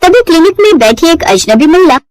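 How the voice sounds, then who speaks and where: A woman's voice: very high-pitched, big pitch swings, brisk, a little mellow, dry recording. A woman narrating a scripted moral story in a dramatic storytelling style.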